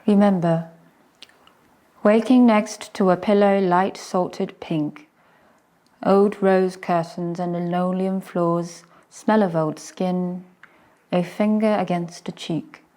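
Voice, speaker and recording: Female poetry reader, lower-pitched voice, very unhurried, measured fragments set apart by pauses. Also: fairly even intonation, mellow. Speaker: poet reciting poetry. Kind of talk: slow poetry recitation.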